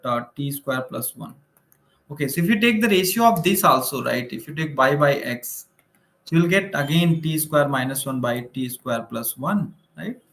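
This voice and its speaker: A man's voice: a little high, fairly bright tone. A man with an Indian accent teaching maths in an informal online lecture, explaining step by step and often checking in with short tag questions. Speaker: maths teacher for exam preparation. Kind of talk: informal online lecture, working through problems. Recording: roomy, echoing room sound.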